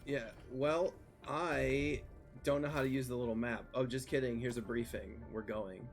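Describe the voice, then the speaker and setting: A man's voice: mid-range voice, steady tone, normal speed, faint music behind. A man hosting a casual chat while gaming, talking in a flustered, rambling way. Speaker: host.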